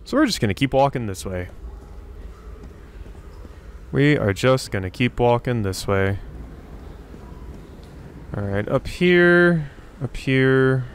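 A man's voice: mid-range voice, lively intonation, slow pace, pauses often. Male let's player, mid-range voice, casual, rambling, repeats himself, faint ambience behind. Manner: rambling, repeats phrases, thinking aloud. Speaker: gaming YouTuber, let's player. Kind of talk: casual video game commentary. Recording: faint game ambience noise. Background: game ambience.

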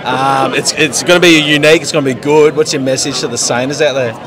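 A man's voice: medium pitch, steady tone, quick pace. A young Australian man interviewing a footballer in a chatty, matey way, often repeating words. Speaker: club media interviewer. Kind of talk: casual post-game interview. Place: team change rooms after a match. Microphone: handheld mic. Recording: crowd noise behind.

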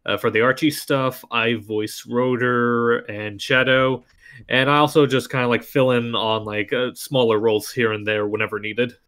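A man of middling pitch talks casually on a panel. He is a voice actor and sounds somewhat hesitant. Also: steady tone, moderate pace, a bit bright. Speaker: voice actor and audio mixer on a panel. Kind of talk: casual self-introduction and chat.

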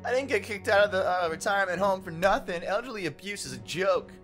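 A man's voice: high-pitched, animated, fast, a bit bright, soft background music. A man playing a game as a guest, chatting casually with wry, muttered quips. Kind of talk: casual gameplay chat.

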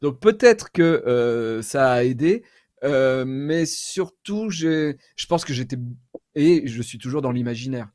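A man in his forties chatting casually on a video call, self-deprecating and halting as he tells stories about himself. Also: medium pitch, expressive, darkish tone, no room sound, clean studio sound. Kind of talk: casual personal anecdotes, round-table chat. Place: small room at home, indoors.

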